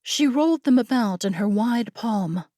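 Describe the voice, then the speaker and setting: A woman narrating a scripted fantasy story as an audiobook reader, measured and deliberate. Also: fairly high pitch, animated, mellow, no room sound, clean studio sound.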